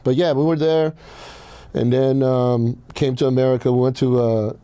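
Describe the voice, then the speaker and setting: Blunt man, mellow and dry-sounding, telling stories. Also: mid-range voice, little pitch movement, moderate pace, clear, resonant tone, dry recording. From Iran. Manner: blunt, frequent swearing. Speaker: podcast host. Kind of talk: casual personal storytelling on a podcast.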